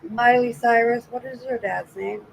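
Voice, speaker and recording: A man's voice: extremely high pitch, fairly even intonation, normal speed, creaky, a little hiss or hum, low-quality recording. A man in his forties co-hosting a casual chat, easygoing and joking. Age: 40s.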